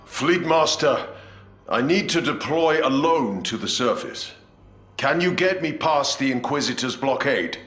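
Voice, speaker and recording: Solemn sci-fi game commander, formal, resolute, lively intonation, music faintly behind. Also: male voice, mid-range voice, measured pace, roomy sound. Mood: solemn, resolved. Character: dutiful, resolute male commander of space warriors. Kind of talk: video game cutscene acting.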